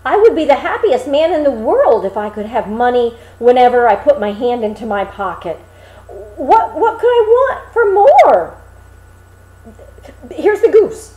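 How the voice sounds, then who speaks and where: A woman's voice: sits high, sing-song, unhurried, mellow, reverberant, lo-fi sound. A woman voicing a cheerful, naive young man in a storybook reading, eager and guileless. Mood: overjoyed.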